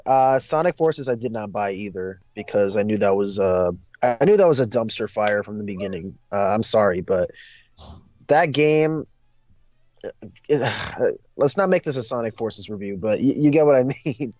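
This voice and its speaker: A young man co-hosting a casual discussion, talking in a hesitant, halting way. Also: fairly low pitch, expressive, darkish tone, no echo, muffled recording. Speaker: discussion co-host. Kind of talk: casual chat.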